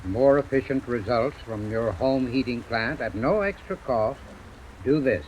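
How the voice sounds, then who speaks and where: Male 1930s radio advertiser, expert spokesman, formal scripted read, lo-fi with faint noise. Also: medium pitch. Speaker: company heating expert and radio pitchman. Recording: dull, noisy vintage radio recording.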